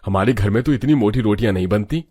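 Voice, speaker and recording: Husky, low-voiced easygoing cartoon dad, acted by a man. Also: quick pace, warm tone. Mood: pleased, hungry. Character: hungry, easygoing middle-aged family father in a cartoon.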